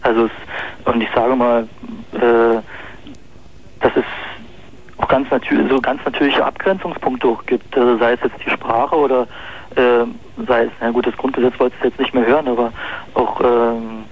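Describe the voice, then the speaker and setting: A man's voice: medium pitch, fairly even intonation, moderate pace, long pauses, slight background noise. A young man with a Berlin accent calling in to a radio show, talking hesitantly with many fillers. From East Berlin. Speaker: caller. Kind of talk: phone-in chat. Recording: muffled phone-in radio line.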